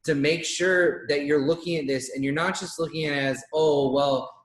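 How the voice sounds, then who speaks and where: Excited man in his twenties, roomy sound. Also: fairly high pitch, normal speed. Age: 20s. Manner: energetic, hyping up the guest. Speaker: co-host interviewer. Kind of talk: enthusiastic online interview. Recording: roomy echo.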